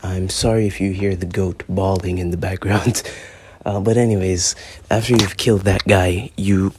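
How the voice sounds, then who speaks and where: A man's voice: low-pitched, level tone, normal speed, breathy edge. A teenage boy reviewing a mobile shooter as a YouTuber, rambling and joking, with plenty of profanity. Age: teens. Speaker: gaming YouTuber. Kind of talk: gameplay review commentary.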